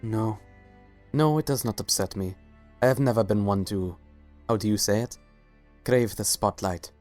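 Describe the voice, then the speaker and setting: A man voicing a kind, reserved male royal pony with formal, measured phrasing in a storybook reading. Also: on the low side, darkish tone, faint music behind, lightly acted. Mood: calm, sincere.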